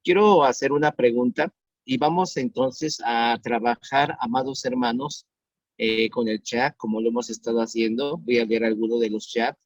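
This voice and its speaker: 50s pastor man preaching online, earnest, says questions again slow, dry dull sound. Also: mid-range voice, dry recording. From Mexico. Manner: earnest, repeats questions slowly for emphasis. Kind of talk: online sermon.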